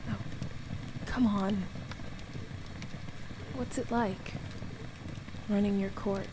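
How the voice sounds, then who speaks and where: A woman acting in a radio play as a captive young goddess, weary, desperate and quietly defiant, with halting emotional delivery. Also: medium pitch, fairly even intonation, noisy background. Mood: coaxing.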